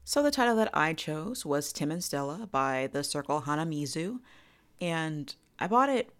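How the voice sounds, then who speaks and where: A woman's voice: low-pitched, big pitch swings, normal speed. A woman reviewing in a casual podcast style, frank, profane and enthusiastic.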